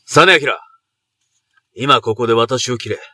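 A man's voice: mid-range voice, slow, pushed and bright, dry recording, studio quality, heavily acted. An actor playing a proud middle-aged noble commander and father in a Japanese period audio drama, speaking in archaic, imperious samurai language. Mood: anguished, pleading.

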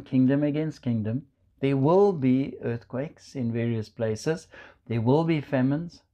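A man with a South African accent, preaching a measured sermon and quoting scripture. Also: medium pitch, dark tone. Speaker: pastor.

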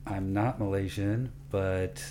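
A man's voice: deep voice, steady tone, slow pace, background noise. A man hosting a podcast, chatting casually and informally as he interviews a guest.

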